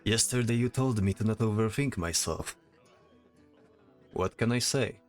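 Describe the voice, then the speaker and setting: Man voicing a stoic soldier, lowish voice. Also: a little slow, faint music behind, lightly acted. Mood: serious. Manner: terse, serious, restrained. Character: stoic, guarded male soldier focused on his mission. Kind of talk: audio drama dialogue.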